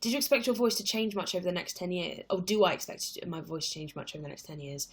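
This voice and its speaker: A woman's voice: lowish voice, expressive, quick pace. Chatty young woman reading out viewer questions. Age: 20s. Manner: chatty and rambling, reads viewer questions aloud. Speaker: livestream host answering viewer questions. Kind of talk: casual live chat.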